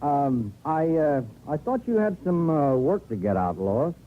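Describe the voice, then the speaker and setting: An affable, uneasy American newspaper editor in fifties radio drama, hesitant, with a slightly high, animated voice. The recording is tinny. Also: male voice. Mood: uneasy, jealous. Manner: hesitant, halting. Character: affable but uneasy, jealous small-town newspaper editor. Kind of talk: 1950s radio drama acting.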